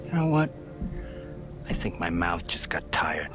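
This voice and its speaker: A man's voice: low voice, very animated, unhurried, over music. A man playing a cocky, foul-mouthed young suspect with streetwise slang and a defiant, easily rattled manner in a dramatic video game.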